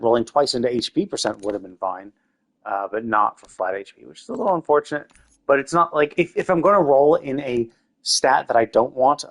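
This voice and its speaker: Easygoing man thinking aloud. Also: mid-range voice. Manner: thinking aloud, rambling, many restarts. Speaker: game streamer. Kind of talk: casual live-stream commentary while playing.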